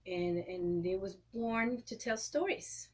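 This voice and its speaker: A woman in her thirties from Costa Rica, speaking English with a Costa Rican Spanish accent, explaining things in an interview and clearing her throat often. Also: mid-range voice, slow. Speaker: guest, opera singer and educator. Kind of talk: interview answers.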